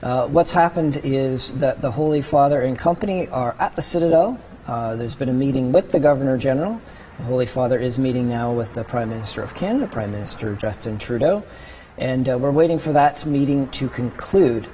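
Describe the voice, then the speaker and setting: A man anchoring live news coverage from a studio as host, commenting in a hesitant, halting way. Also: medium pitch, warm tone. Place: broadcast studio. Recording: clear background noise.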